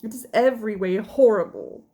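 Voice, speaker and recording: A woman's voice: medium pitch, moderate pace, warm tone, voice pushed up, lightly acted. A woman reading the part of a distraught young woman whose sister has eloped, in an agitated, pleading way. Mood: agitated, despairing.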